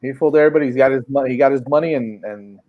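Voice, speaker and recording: A man in his late thirties, mid-pitched and talking easily as he co-hosts a loose post-game sports chat. He jokes and needles the other guys and cuts in often with quick questions. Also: phone-quality sound, crowd noise. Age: 30s. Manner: joking, teasing, quick to interrupt. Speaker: podcast co-host.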